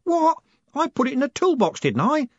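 Brief: male reader as a giddy wizard guy, very high, excitable, dull dry audio. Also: moderate pace, dry recording, full character voice. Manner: cheerful, excitable.

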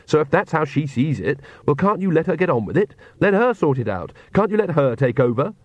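A man's voice: fairly high pitch, big pitch swings, fairly quick, a little mellow, no room sound, muffled recording, pitched above the performer's own voice. A man voicing a sensible, matter-of-fact witch woman who reasons practically with a hint of worry. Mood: reasoning.

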